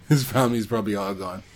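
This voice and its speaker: A man co-hosting a podcast in casual chat about films, talking in a jokey, slangy, animated way. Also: lowish voice, slight background noise. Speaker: podcast co-host.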